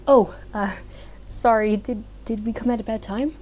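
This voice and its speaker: A woman's voice: fairly high pitch, steady tone, normal speed, dark tone, a little hiss or hum, close to an everyday voice. A woman playing a polite, reserved, drily curious customer in a scripted comedy audio drama. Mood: polite, wry.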